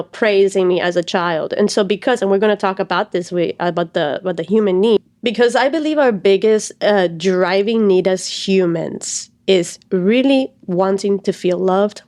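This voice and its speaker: A woman's voice: mid-range voice, a little mellow. A woman in her thirties from Cuba, a stress and anxiety coach, explaining her story earnestly as a podcast guest. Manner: earnest, explains at length with fillers. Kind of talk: reflective interview talk.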